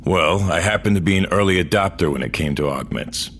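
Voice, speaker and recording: A world-weary old cyborg man in a science-fiction audio drama. His voice sits very low, and he talks bluntly with gruff, dry wit. A faint bed of noise lies behind him. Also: brisk, fairly bright tone. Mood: indignant. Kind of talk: audio drama dialogue and first-person narration.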